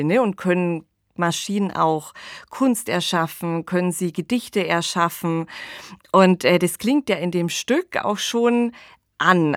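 A woman's voice: lowish voice, dry recording. Woman podcast host, dry studio sound. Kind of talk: podcast discussion with scripted credits.

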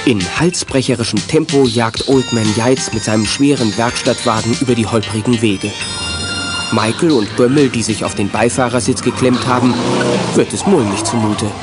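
A man's voice: medium pitch, moderate pace, natural voice. A man acting as the narrator of a children's adventure radio play, reading scripted dramatic narration. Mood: suspenseful. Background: music.

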